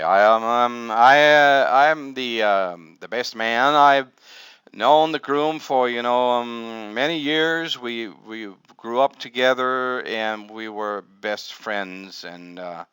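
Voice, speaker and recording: A man's voice: medium pitch, slow, a bit bright, clean tone, dry recording. A middle-aged man from Denmark, giving a humorous prepared speech full of wordplay at a public speaking club. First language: Danish. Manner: playful storytelling with wordplay.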